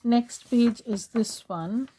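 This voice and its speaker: A woman walks through a journaling craft project in a slow, unhurried way, her voice hoarse as she is losing it. She speaks softly with a mellow, dark tone and often pauses to clear her throat. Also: on the high side, rough recording. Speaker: craft channel host. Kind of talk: casual craft tutorial voice-over.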